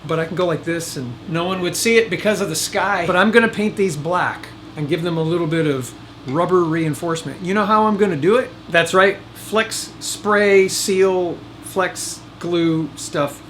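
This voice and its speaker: A man's voice: high voice, moderate pace. A man giving casual how-to vlog talk as host, folksy and jokey with rambling asides. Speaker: host of DIY video series. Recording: loud air conditioner noise behind voice. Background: air conditioner hum.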